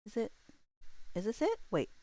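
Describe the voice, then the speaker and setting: A woman's voice: mid-range voice, huge pitch swings, moderate pace, dark tone, no room sound, studio quality. A woman livestream host chatting casually, rambling and thinking aloud in an informal way.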